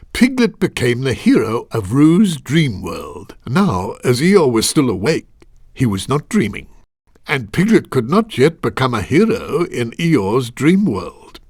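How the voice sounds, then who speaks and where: A man playing a kindly, patient storyteller, narrating a children's game in a measured, gently musing way. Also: medium pitch, no echo, studio quality.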